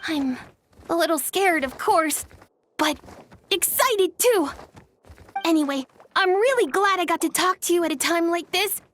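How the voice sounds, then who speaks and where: A woman voicing an earnest, gentle child in a game, sincere and a little hesitant. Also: very high-pitched, expressive, unhurried, exaggerated voice. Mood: nervous, excited. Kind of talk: scripted English game voice acting. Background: soft background music.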